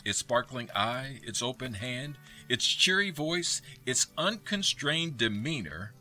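Man as bright, forceful storybook narrator. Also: medium pitch, very animated, takes it slowly, faint music behind, exaggerated voice. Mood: relishing, wondering. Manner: articulate, relishing descriptive lists. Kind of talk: scripted theatrical narration.

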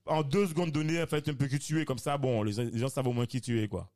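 A man in his thirties hosting a casual discussion podcast, animated and given to repeating words and restarting. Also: medium pitch, fast. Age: 30s. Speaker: podcast host.

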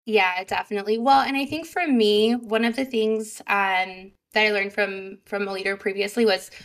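A woman guest on a podcast, high energy and chatty, with a fairly high voice and lots of fillers. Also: moderate pace. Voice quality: higher pitched voice. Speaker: sales representative guest. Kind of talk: casual podcast interview.

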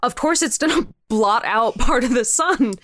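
A young woman talking casually as an interview guest, in an animated, halting, sweary and informal manner. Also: fairly high pitch, no room sound. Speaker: podcast creator, interview guest.

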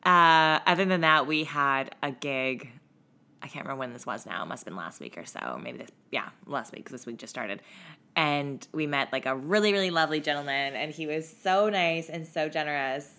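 A woman co-hosting a podcast, chatting casually with a voice raspy from a cough, laughing often as she tells stories. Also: deep voice, lively intonation, moderate pace, pushed and bright. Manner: laughs often, loose storytelling with many fillers. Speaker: podcast co-host. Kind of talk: casual chat.

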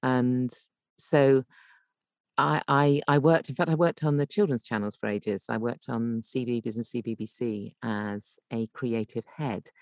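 A woman's voice: extremely low pitch, fairly even intonation, measured pace, a little mellow, no echo, studio quality. A woman voice actor talking casually as an interview guest, chatty and often rephrasing herself as she goes.

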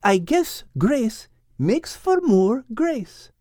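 A folksy tomcat with a lilt, good-natured and gentle. The audiobook character talks with big swings of pitch in a dry, close recording. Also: male voice, big pitch swings, drawn-out words, no room sound, heavily acted. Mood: relieved, forgiving. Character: good-natured, forgiving male cat.

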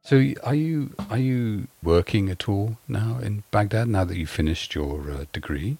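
Very low, husky man as interviewer, polite and halting. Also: lively intonation, a little mellow, faint music behind. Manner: polite, halting questions with restarts. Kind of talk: casual interview questions.

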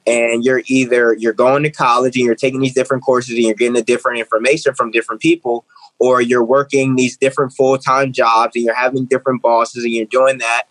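A man's voice: mid-range voice, flat delivery, brisk, a bit bright, no room sound, low-quality recording. A man in his twenties, a guest author and speaker, talking earnestly in an interview and often repeating phrases as he builds a point. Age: 20s. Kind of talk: interview conversation.

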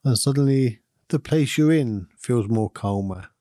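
A man guides a meditation with a mellow, relaxed voice at a medium pitch. He speaks calmly and soothingly, giving gentle instructions without hurry and leaving long pauses. The sound is dry and close, with no room around it. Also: no echo. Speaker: meditation guide and podcast host.

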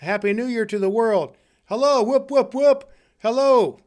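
A man's voice: sits high, lively intonation, normal speed, a bit bright, pitched above the performer's own voice. A man voicing a giddy, overjoyed old reformed miser in an exuberant, exclamatory storybook reading.

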